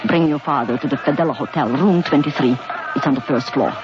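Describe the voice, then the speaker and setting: A woman's voice: extremely low pitch, fairly quick, over loud music. A woman with a Hungarian accent plays a poised female undercover agent and narrates in 1950s radio drama acting. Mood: cool. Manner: poised, urgent. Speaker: lead actress and narrator. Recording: dull old radio broadcast with hiss.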